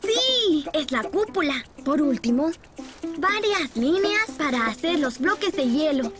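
A woman's voice: sits high, very animated, a little slow, loud music behind the voice. A woman voicing a cheerful, helpful little boy in a preschool cartoon, explaining things step by step in a friendly way. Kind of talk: Spanish-dubbed preschool cartoon acting.

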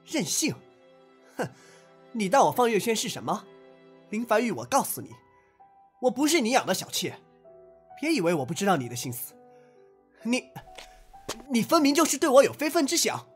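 Man acting a haughty young nobleman, husky, lively. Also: high voice, normal speed, pauses often, soft background music, full character voice. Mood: indignant, scornful. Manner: haughty, archaic turns of phrase. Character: proud, petulant young nobleman, easily offended. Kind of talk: audio drama acting.

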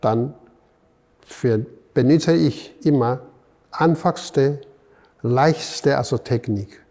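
Slow, gravelly older man, halting. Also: medium pitch, mellow, long reverb tail. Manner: halting, searching for words, many fillers. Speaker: artist guest. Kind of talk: halting interview answers. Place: museum gallery room.

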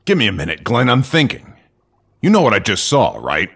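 A man playing a gruff, brooding man in command, giving curt orders in a sci-fi audio drama. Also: fairly low pitch, huge pitch swings, a little fast, fairly bright tone, heavily acted. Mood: brooding, irritable.

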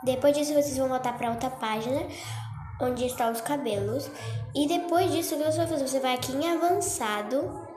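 Chatty young Brazilian woman, high voice. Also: brisk, a little mellow. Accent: Brazilian Portuguese. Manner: chatty, keeps saying "gente" and "tá?". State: a bit congested from a cold. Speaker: Roblox tutorial youtuber. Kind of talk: casual screen-recorded tutorial. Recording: echoey room with music behind.

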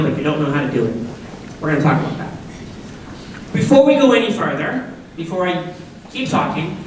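A woman in her forties with a deep voice, an upbeat and chatty speaker who keeps asking the students questions. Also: a little hiss or hum. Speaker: guest speaker at a school assembly. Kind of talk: lively talk to students. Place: large school auditorium. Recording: echoey auditorium from far off.

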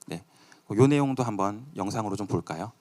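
A man's voice: on the low side, expressive, brisk, darkish tone. A man giving a live product demo at a company event in a conversational way. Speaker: presenter at a company tech event.

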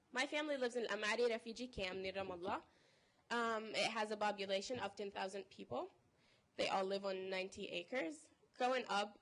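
A teenage girl from Palestine speaks earnestly into a podium microphone. She reads a prepared personal talk in a steady way, in a college conference room. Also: mid-range voice, poor audio. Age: teens. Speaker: panel speaker.

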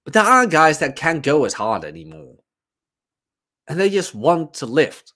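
A man's voice: a little high, huge pitch swings, measured pace, sharp tone. A man in his thirties giving a casual, informal livestream monologue as a fitness coach, rambling and emphatic. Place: small room at home, indoors.

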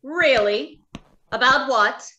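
High, bright, obliging doctor played by a woman, echoey. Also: slow, muffled recording, heavily acted. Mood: polite. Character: obliging, businesslike robot scientist. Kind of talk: old-time radio drama acting. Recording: echoey room on video call.

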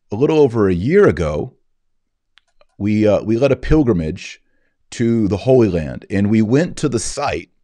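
Male, 40s, deep voice, dry close sound. Religious podcast host, didactic, measured stresses on key words. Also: mellow, no room sound. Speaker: podcast host reading and explaining scripture. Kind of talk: scripture reading and religious commentary.

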